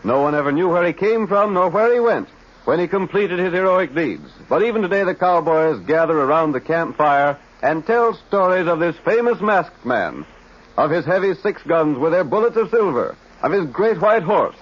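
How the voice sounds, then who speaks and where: A man's voice: a little high, expressive, normal speed. A male announcer narrating in a stirring, declamatory 1940s radio drama style. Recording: muffled old radio recording.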